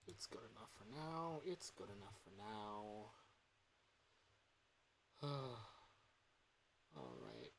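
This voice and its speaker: A woman's voice: very deep voice, wildly swooping pitch, very slow, long pauses, slight background noise, low-quality recording. A woman livestreaming games, muttering casually to herself as she works. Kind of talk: casual muttering while gaming on stream.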